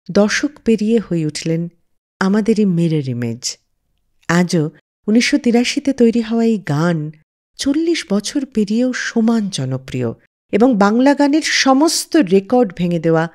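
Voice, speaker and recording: A woman's voice: mid-range voice, darkish tone. A woman hosting a music radio show, telling scripted stories in a dramatic storyteller's manner.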